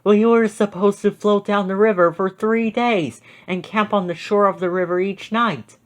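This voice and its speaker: A man's voice: high-pitched, fairly even intonation, normal speed, pitched above the performer's own voice, lightly acted. A man voicing a shaken, earnest young camper in a storybook, retelling things dramatically. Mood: frightened.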